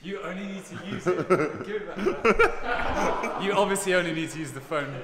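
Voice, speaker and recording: A man's voice: sits high, normal speed. A young man, a rower, bantering with cocky tongue-in-cheek boasts about his seat in the boat. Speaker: rower, interviewee. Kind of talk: casual banter. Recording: echoey room with crowd noise.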